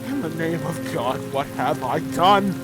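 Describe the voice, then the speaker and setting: A man's voice: a little high, lively intonation, unhurried. A man acting a haunted, guilt-stricken man who hears voices, melodramatic and anguished, in a horror drama with music and rain. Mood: panicked, anguished. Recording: loud music and rain over the voice.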